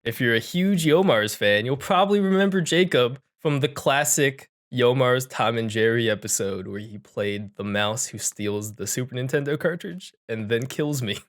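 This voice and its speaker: A man hosting a podcast in casual, unscripted chat, with a jokey, halting manner that often interrupts itself. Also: mid-range voice, animated. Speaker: podcast host.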